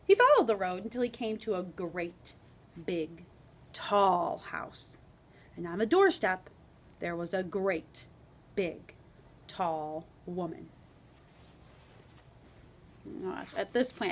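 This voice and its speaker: A woman's voice: fairly low pitch, animated, slow pace, pauses often, slight background noise. A woman teaching a grade school lesson, reading a story expressively and adding conversational asides and questions. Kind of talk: children's read-aloud lesson, storytelling and questions.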